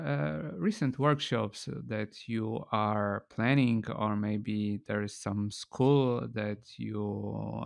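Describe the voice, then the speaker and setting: A man hosting an interview in halting non-native phrasing, with many pauses and fillers. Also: lowish voice, creaky, no echo. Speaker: interviewer, host. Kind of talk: casual interview talk.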